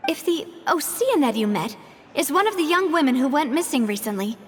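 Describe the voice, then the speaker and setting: A high, very expressive young woman, poised, wry and determined as a leader should be. Her measured speech rings with a long reverb. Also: slight background noise. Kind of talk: video game voice acting.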